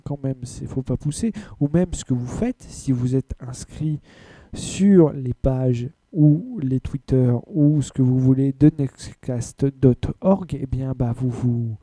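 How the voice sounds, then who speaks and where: A man's voice: mid-range voice, warm tone, no echo. A man hosting an anime and manga podcast in a casual, blunt way, rambling through news with lots of filler words. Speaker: podcast host. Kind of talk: casual news rundown and rant.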